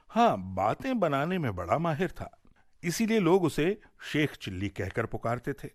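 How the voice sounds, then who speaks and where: Animated male radio storyteller. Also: mid-range voice, dry recording, clean studio sound. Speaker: radio storyteller and series presenter. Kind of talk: expressive Hindi folk tale narration.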